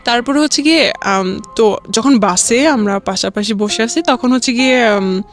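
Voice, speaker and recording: A woman's voice: fairly high pitch, normal speed, soft background music. A young woman with a Bangladeshi accent tells a personal story in a casual, conversational way, often acting out quoted dialogue. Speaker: guest telling a personal life story.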